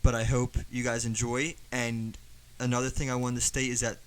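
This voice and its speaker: A man's voice: mid-range voice, little pitch movement, moderate pace, a little hiss or hum, rough recording. A man hosting a podcast, introducing his guest and asking casual, chatty questions. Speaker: podcast host.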